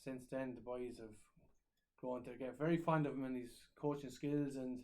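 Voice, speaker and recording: A man interviewing a guest at a school, easygoing and quick with short agreements. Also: mid-range voice, steady tone, darkish tone. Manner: easygoing, laughs along, short affirmations. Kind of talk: casual interview chat. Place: school in Ireland.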